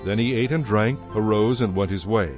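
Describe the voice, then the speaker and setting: A man narrating dramatized scripture in a solemn, measured manner. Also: lowish voice, darkish tone. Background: music.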